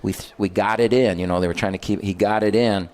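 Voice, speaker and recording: A man's voice: lowish voice, fairly even intonation, quick pace, a little mellow. An older man, a basketball head coach, telling rambling stories on a radio show and correcting himself as he goes. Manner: rambling, self-correcting storyteller. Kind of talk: casual radio chat.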